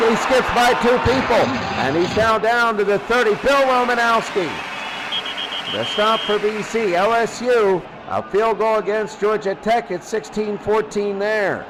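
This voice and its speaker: A man's voice: high voice, noisy background. A man calling American football play-by-play in short clipped phrases, repeating himself for emphasis. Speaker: play-by-play announcer.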